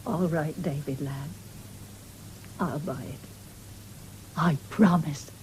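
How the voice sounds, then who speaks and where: A woman's voice: low voice, takes it slowly, a little mellow. An actress playing a practical, cautious middle-aged farming mother in a British radio drama, with a homely, no-nonsense manner. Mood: measured. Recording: noisy old radio broadcast recording.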